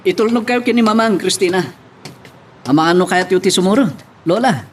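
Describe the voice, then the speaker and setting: Male actor as gentle, devoted young husband for family radio drama; fairly high voice, some noise. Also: moderate pace, natural voice. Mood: earnest, tender. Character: caring young husband in uniformed service, earnest, gentle. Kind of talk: Ilocano audio drama acting.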